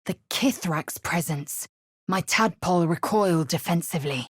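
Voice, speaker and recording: A woman playing a fierce, haughty young githyanki warrior in a video game, speaking in a clipped, imperious, deliberate way with a husky edge. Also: fairly low pitch, dry recording, clean studio sound. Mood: grave recounting. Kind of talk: scripted video game character lines and narration.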